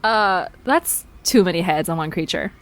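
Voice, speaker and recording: A woman's voice: on the low side, expressive, moderate pace, close to an everyday voice. A woman playing a blunt, easily flustered young amateur investigator in an audio drama, with a hesitant, sardonic way of talking. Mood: puzzled. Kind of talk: audio drama dialogue, conversational.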